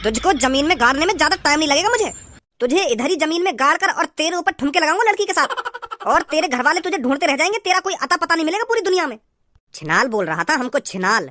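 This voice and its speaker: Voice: sits high, big pitch swings, fast, pushed and bright, heavily acted. A performer voicing blustering village men in a comedy cartoon, repeating words. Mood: threatening.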